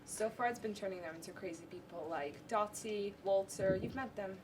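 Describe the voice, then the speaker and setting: A teenage female student acting a brisk, defensive nurse who plots an escape in an amateur stage play. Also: medium pitch, natural voice. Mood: urgent, resolute. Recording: noisy live stage recording with clicks.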